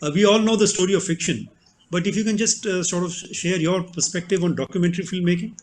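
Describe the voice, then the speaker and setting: A man's voice: high voice, fairly even intonation, fast. A man moderating a panel discussion, affirming the speakers and posing questions.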